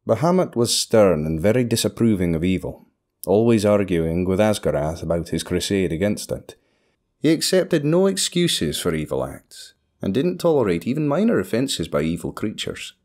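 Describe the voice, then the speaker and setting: A man's voice: on the low side, big pitch swings, moderate pace, a little mellow. A man delivering scripted fantasy lore as a narrator. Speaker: voice actor, narrator.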